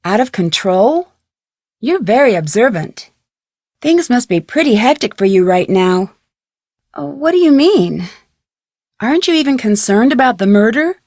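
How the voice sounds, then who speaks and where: A woman's voice: on the high side, sing-song, unhurried, pauses often, darkish tone, no room sound, studio quality, exaggerated voice. An actress voicing a friendly, curious young female detective in a scripted video game. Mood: inquisitive. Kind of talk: scripted game dialogue and tutorial narration.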